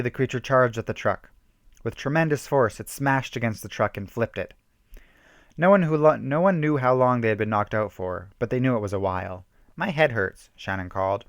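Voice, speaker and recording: A man's voice: medium pitch, fairly quick, clean tone, no echo, clean studio sound. A man giving an emphatic, theatrical reading of a horror story, stumbling now and then. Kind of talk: dramatic reading of a self-written horror story.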